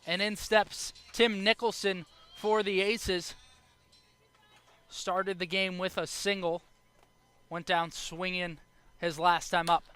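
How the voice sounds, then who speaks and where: A young American man with a high, bright voice calls baseball play-by-play. He takes his time between phrases, describing the game as a broadcast announcer. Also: little pitch movement, takes it slowly, pauses often, clear, resonant tone, faint music behind. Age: young adult. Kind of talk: live baseball radio and TV play-by-play commentary.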